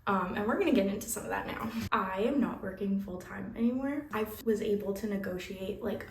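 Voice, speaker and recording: A woman's voice: mid-range voice, a little mellow, distant mic. A young woman vlogging casually about her writing life, still nasal and scratchy from a flu, chatty and candid with many "like"s and little laughs. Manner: chatty, candid, laughs often, says "like" a lot. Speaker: vlogger and aspiring fantasy author. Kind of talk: casual vlog chat. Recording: roomy echo, faint ambient noise.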